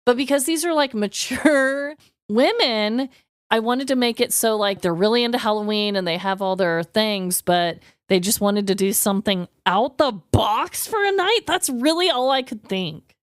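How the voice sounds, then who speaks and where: A woman's voice: fairly high pitch, normal speed, no room sound, clean studio sound. A woman co-hosting a podcast in casual comedic chat, blunt and sweary. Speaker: podcast co-host.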